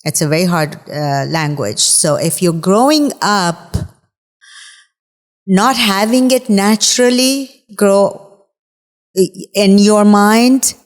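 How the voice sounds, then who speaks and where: A woman from Bahrain whose first language is Farsi, speaking as an interview guest in a reflective, halting way with many fillers. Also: mid-range voice, in a large hall. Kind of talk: conversational interview.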